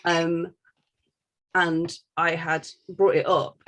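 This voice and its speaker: A woman's voice: on the low side, little pitch movement, extremely slow, a bit bright, a little hiss or hum. A woman co-hosting a podcast in a casual, joking and irreverent way, reading out questions. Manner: playful, irreverent. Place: bedroom.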